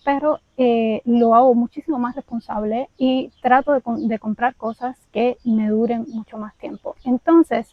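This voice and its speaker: Cuban woman vlogger, candid and reflective, slightly raised pitch, dry close sound. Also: no echo, narrow, tinny audio. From Cuba. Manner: candid, reflective, speaks directly to viewers. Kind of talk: personal vlog storytelling.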